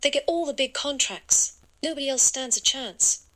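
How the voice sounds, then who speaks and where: Bright, high-voiced actress as wary local woman. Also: moderate pace. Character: wary female townsperson warning about corruption. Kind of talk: scripted audio drama.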